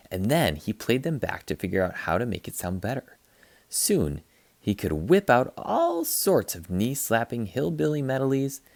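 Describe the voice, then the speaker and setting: A man reading a children's picture book aloud as a teacher, with animated, dramatic emphasis. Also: on the low side, creaky, no room sound. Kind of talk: expressive children's read-aloud narration.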